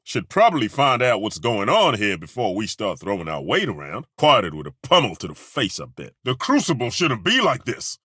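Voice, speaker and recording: Grumbling dwarf warrior, wry and crude, low husky voice, lively pitch, dry booth recording. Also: male voice, normal speed, few pauses, no echo, full character voice. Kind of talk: video game voice acting.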